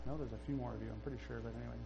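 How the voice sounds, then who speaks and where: Brisk, encouraging church leader. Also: male voice, medium pitch, fairly even intonation, lo-fi sound, over music. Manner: encouraging, affirming with amens. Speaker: pastor leading testimonies. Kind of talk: leading a church service. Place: church service.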